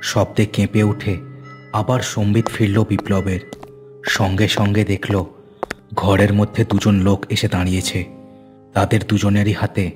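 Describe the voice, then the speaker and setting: Low-voiced male horror story narrator. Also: flat delivery, unhurried, long pauses, darkish tone. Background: music.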